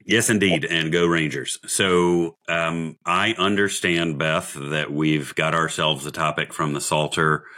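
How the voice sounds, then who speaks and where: A man hosting a podcast, his voice scratchy from days of shouting, talking in a jovial, teasing, rambling way. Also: rumbling low, steady tone, unhurried, few pauses. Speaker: podcast host. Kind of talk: casual podcast chat.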